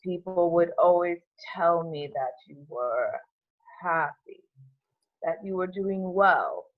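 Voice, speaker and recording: A man playing a subdued, laconic older man in an amateur table read, speaking in a halting, terse way. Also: sits high, narrow, tinny audio.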